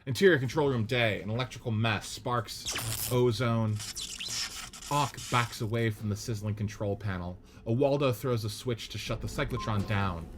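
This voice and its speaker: A man narrating stage directions in a measured, matter-of-fact way during a radio play script reading. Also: medium pitch, noisy background.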